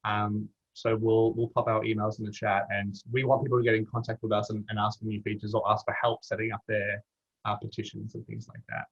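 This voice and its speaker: A man's voice: fairly low pitch, completely flat delivery, fairly quick, creaky, breathy edge. An Australian man presenting an online webinar in a casual, matey way. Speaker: webinar presenter from a campaign platform team. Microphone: video call. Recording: dull lo-fi video call audio.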